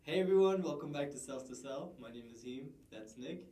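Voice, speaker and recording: A man's voice: a little high, expressive, a little fast, mellow. A man co-hosting a podcast, asking loose, friendly questions in a casual chat. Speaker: podcast co-host. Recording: echoey room, far from mic.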